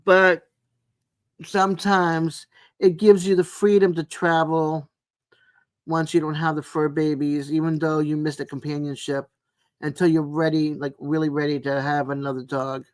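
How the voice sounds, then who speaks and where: A woman's voice: low-pitched, little pitch movement, measured pace. Older lady in her 60s just chatting on a livestream, takes her time, rambles a bit. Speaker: live stream host. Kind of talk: casual chat with live viewers.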